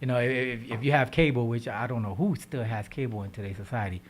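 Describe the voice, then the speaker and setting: A man hosting a radio talk show, giving casual diet advice. He speaks with lively energy, repeats words, and keeps checking in with "right?". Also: medium pitch, quick pace, a little hiss or hum. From Haitian background. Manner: animated, repeats words, asks "right?" often.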